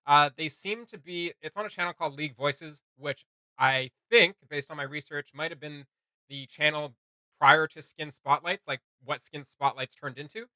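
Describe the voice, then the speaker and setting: A man hosting a casual reaction chat, talking in an animated way with quick quips. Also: on the high side, no room sound, poor audio. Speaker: host.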